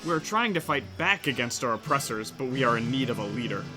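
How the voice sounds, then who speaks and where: A man's voice: medium pitch, lively intonation, quick pace, lightly acted. A man playing an earnest, urgent rebel with declamatory delivery in a comic audio drama. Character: urgent, earnest male rebel seeking a leader. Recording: music underneath.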